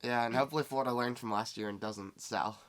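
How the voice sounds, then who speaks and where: A laid-back man with a raspy voice, co-hosting a casual sports chat. He asks his co-host questions in an easy, unhurried way. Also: mid-range voice. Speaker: podcast co-host.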